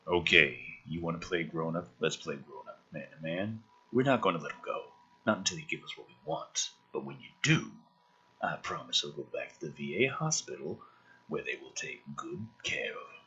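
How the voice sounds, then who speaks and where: A man voicing a condescending villain from a film script, hushed and breathy, with patronizing soft menace. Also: very deep voice, lively intonation, normal speed, muffled recording, voice pushed down, heavily acted. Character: smooth, condescending male villain threatening a boy. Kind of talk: dramatic reading of a film script.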